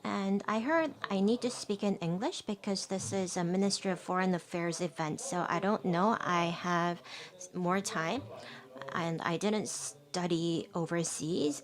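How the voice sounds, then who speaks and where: A woman giving a live interpretation of a keynote speech in a steady, measured way, with brief restarts. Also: fairly low pitch.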